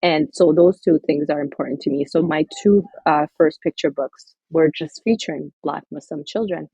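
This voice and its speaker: A woman's voice: sits low, moderate pace, creaky, dark tone, breathy edge, no echo, highs cut off. A woman from Somaliland, an author and guest, talking earnestly and reflectively in an interview, with many fillers and restarts. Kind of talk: interview conversation.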